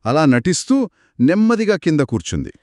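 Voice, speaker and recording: A man's voice: a little high, normal speed, dry recording, studio quality. A man co-narrating a folktale for children in a conversational style, putting questions to his listeners. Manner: animated, poses questions to listeners. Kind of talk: conversational two-person storytelling for children.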